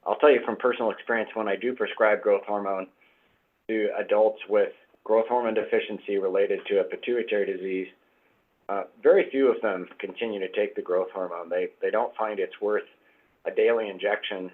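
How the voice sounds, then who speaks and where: Guest doctor, a man with a husky, cold-roughened voice, patient and explanatory, over a tinny line. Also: fairly low pitch, fairly even intonation. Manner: measured, explanatory, frequent fillers. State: hoarse from a cold. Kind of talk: expert explanation in interview.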